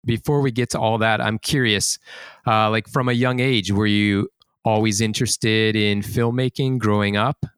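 A man hosting a podcast interview, chatty and relaxed, introducing his guest and asking questions. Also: lowish voice, normal speed, clear, resonant tone, no room sound, clean studio sound. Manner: relaxed, chatty, uses fillers like "cool, cool". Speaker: podcast host.

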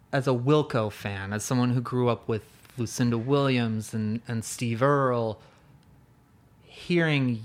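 A man hosting a casual interview, rambling and searching for words, with an easy, swearing-in-passing manner. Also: mid-range voice. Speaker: podcast host.

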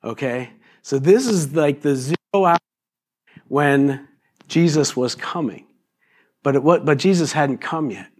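Slightly raspy man preaching casually. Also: fairly high pitch, measured pace, pauses often, darkish tone. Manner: conversational preaching with many fillers and restarts. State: raspy voice this morning. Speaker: pastor. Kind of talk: sermon.

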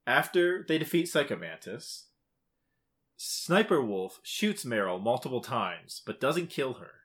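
Man, podcast co-host, bright forceful voice, casual recap style, emphatic clipped phrasing. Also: medium pitch, very animated, a little slow. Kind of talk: casual video game plot recap.

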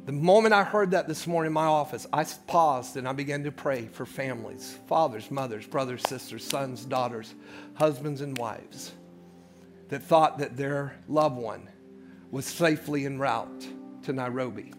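A male pastor preaching a sermon, swinging between loud shouts and hushed low passages and repeating words as he builds. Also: moderate pace, over music.

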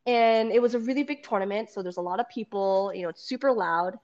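Loud, animated woman jiu-jitsu coach. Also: mid-range voice, fast, lo-fi sound. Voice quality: loud, carrying voice. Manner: animated, laughs often, acts out quoted dialogue. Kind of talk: casual podcast chat.

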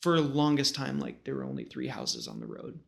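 A man in his thirties with an American accent talks in a relaxed, rambling storyteller's style. His mid-pitched voice is full of vocal fry. The phrasing is informal and slangy, like a guest swapping memories. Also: a little fast. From small-town western New York, United States. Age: 30s. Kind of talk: casual conversational storytelling.

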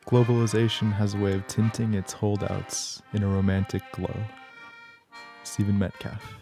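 A male podcast host with a low, gravelly voice reads a scripted introduction. His tone is dark and mellow, and music plays behind him. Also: little pitch movement, measured pace.

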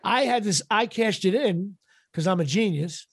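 A middle-aged man with a raspy voice, chatting casually as an interview guest in a wry, self-deprecating, halting way. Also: high-pitched, lively intonation, no echo, clean studio sound. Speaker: podcast guest, actor and comedian.

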